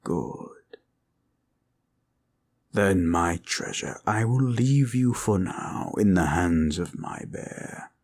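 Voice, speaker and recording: Man acting as a protective dragon, very deep, heavy fry, unhurried, solemn, formal, gentle, roleplay audio. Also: lively intonation, heavily acted. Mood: tender. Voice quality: deep, gravelly. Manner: formal, slow, tender, solemn.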